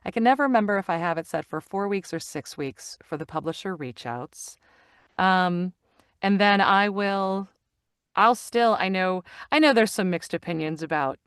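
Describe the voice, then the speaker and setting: A middle-aged woman, a voice actor and audiobook narrator, chatting in an interview with lively enthusiasm and many restarts. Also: medium pitch, moderate pace, clear, resonant tone, no room sound, studio quality. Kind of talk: casual interview chat. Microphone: studio microphone.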